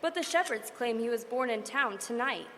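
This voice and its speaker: An actress playing an eager field news reporter who keeps pressing with questions in a live radio drama. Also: female voice, a little high, expressive, bright, forceful tone, a little hiss or hum. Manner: brisk, pressing reporter delivery.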